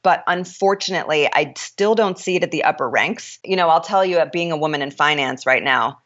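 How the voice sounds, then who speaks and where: Deep-voiced woman, frank and opinionated. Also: a bit bright, highs cut off. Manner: frank and opinionated, with quick restarts. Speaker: author and startup founder, guest. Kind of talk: candid interview conversation.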